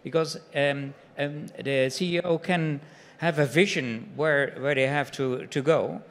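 Halting dutch man in a reverberant hall. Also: fairly high pitch, slight background noise. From Netherlands. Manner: halting, many fillers. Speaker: panelist. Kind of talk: panel discussion.

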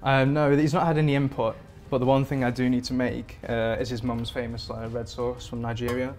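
A man's voice: mid-range voice, a little fast. Male chef, 30s, from England; easygoing, level delivery, lapel mic in a kitchen, light music bed. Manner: relaxed, rambling with many fillers. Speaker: performance chef. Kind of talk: interview answers. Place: modern home kitchen indoors.

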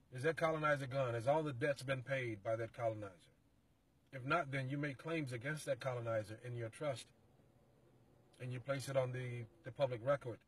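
Husky-voiced middle-aged man explaining steadily. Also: medium pitch. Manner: measured, explanatory, some halting restarts. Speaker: interview guest, organization representative. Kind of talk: explanatory interview talk. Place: passenger seat of a parked car. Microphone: phone camera held by the interviewer.

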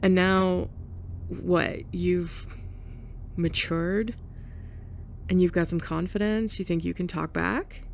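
A sarcastic, tough-talking young woman who used to be the bully, voiced by a woman in an audio roleplay. Her voice sits low and gravelly, and she talks slowly and bluntly with heavy sighs. A faint hiss sits under the recording. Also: steady tone, darkish tone. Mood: confessional. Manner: sarcastic, blunt, sighing and scoffing. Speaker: ASMR roleplay performer. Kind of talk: F4M ASMR roleplay.

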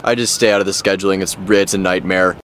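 A man playing a good-natured, exasperated teenage cashier in a comedy sketch, halting and understated. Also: low-pitched, steady tone, noisy background, lightly acted. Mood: resigned. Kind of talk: mockumentary comedy sketch acting.

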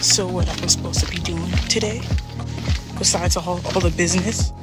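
A woman's voice: fairly low pitch, fairly even intonation, moderate pace, over loud music. A young woman talking to her phone on a forest trail, chatty and reflective, a little out of breath from hiking. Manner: chatty, playful and reflective, talks while walking. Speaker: vlogger. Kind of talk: casual hiking vlog to camera. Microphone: phone held at arm's length.

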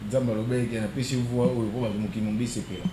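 A man's voice: medium pitch, little pitch movement, very fast. A young man in his studio interview, speaking in a casual, hesitant way with many fillers, switching between two languages. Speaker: interview guest, singer. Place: studio set with wooden walls, indoors. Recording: echoey room with clear background noise.

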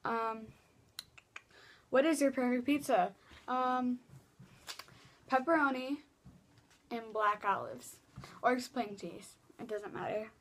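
Teen girl vlogger, high-ish voice, rambling casual delivery, laptop mic with faint hiss. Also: slow pace, pauses often. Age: teens. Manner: chatty, rambling with many fillers and restarts. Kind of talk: casual Q and A vlog. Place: small bedroom, indoors. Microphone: laptop webcam mic.

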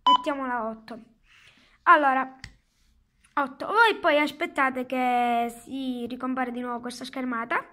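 Casual product reviewer, high voice, unhurried halting explanations. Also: bright, forceful tone, slight background noise. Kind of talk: casual hands-on product demonstration. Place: indoors at a table at home. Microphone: handheld phone.

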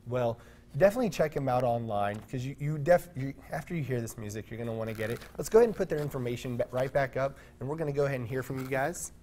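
A man in his thirties hosts a daytime TV segment from a studio. He talks in an upbeat, chatty way and laughs easily, with a medium-pitched voice. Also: fast talker, dark tone. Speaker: TV show host.

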